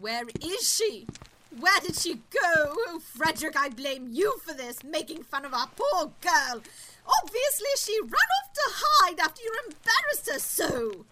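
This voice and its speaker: A woman's voice: very high-pitched, very animated, measured pace, noisy background, heavily acted. A woman playing a fretful, scolding, snobbish middle-aged noble mother in an audio drama. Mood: alarmed, blaming.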